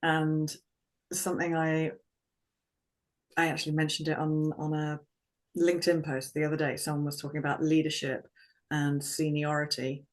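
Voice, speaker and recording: A woman speaking as a guest vocal confidence coach in an interview, calm and reflective, in measured phrases. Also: sits low. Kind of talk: conversational interview.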